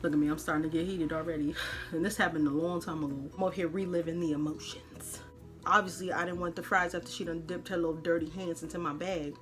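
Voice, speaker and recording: A woman podcast host telling a personal story in an animated, colloquial way, dramatically acting out the dialogue. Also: lowish voice, quick pace, faint music behind.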